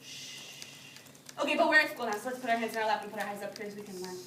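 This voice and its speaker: A woman reading a picture book aloud to young children as their teacher, pausing to explain things in simple phrases. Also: mid-range voice, lively intonation. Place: indoor classroom. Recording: roomy, distant microphone with background noise.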